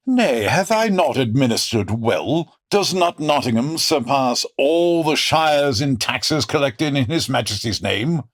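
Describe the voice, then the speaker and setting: A wicked, boastful sheriff from a medieval radio-style drama. The voice is rough and husky, taking its time over archaic, theatrical lines. The pitch swings widely as pomposity turns to temper. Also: male voice, lively intonation, measured pace, hardly any pauses. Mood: pompous. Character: villainous, boastful medieval English sheriff, easily angered. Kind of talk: audio drama acting in archaic English.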